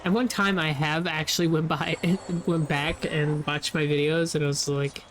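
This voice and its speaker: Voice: medium pitch, little pitch movement, normal speed, fairly bright tone, slight background noise. Rambling, laughing gamer. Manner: rambling, laughs often, swears casually. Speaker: gameplay video creator. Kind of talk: casual rambling gameplay commentary.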